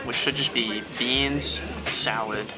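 A man's voice: medium pitch, steady tone, unhurried, over music. A young man co-hosting a travel food vlog, easygoing and enthusiastic, talking to a handheld camera in a car and a small restaurant. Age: young adult. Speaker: travel vlogger co-host. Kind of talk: casual vlog chat.

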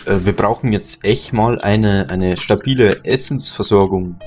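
A man's voice: on the low side, measured pace, a little mellow. A man chatting casually while gaming, swearing and laughing easily at his mishaps. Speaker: Let's Play host. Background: faint game music and keyboard typing.

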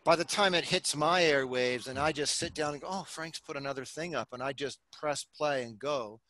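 A man's voice: fairly high pitch, moderate pace, dry recording, rough recording. An older man on a headset mic in a home study, talking animatedly in a casual chat. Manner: animated, gestures while talking, many restarts. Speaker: podcast host. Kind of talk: casual conversation.

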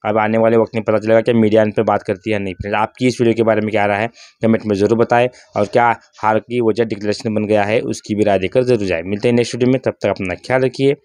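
Clear, even-toned man giving cricket analysis. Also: fairly low pitch, racing, no echo. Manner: critical, rhetorical questions. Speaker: cricket commentator, channel host. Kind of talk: opinionated cricket analysis monologue.

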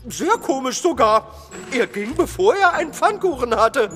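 High-pitched, childish old castle ghost. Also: male voice, sing-song, a bit bright, in a large hall, heavily acted. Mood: mischievous. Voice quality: theatrical, drawn-out delivery. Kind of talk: audio drama acting.

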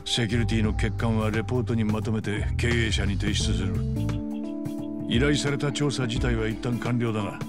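An actor playing a gruff, businesslike middle-aged boss in a video game, talking bluntly in rough masculine speech. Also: male voice, fairly low pitch, fairly even intonation, moderate pace, natural voice. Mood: businesslike, assured. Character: gruff, businesslike older male boss of a security firm. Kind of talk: Japanese video game voice acting. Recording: music playing behind the voice. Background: loud background music.

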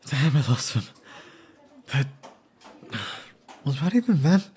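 A young man acting an anxious, wistful former captive in a scripted roleplay audio drama, speaking hesitantly and haltingly. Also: a little high, big pitch swings, a little mellow, slightly rough, exaggerated voice. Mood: troubled, wistful.

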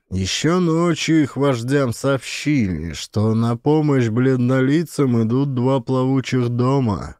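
A man's voice: medium pitch, dark tone, dry recording, studio quality. A man reading an adventure audiobook as a narrator, with a dramatic storytelling delivery. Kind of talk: expressive scripted audiobook narration.

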